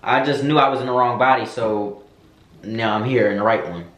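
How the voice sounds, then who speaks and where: A man's voice: mid-range voice, brisk, a bit bright. Sassy young man in an echoey room. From United States. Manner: sassy, candid, slangy, addresses viewers as girl. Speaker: vlogger. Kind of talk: casual Q&A chat to camera.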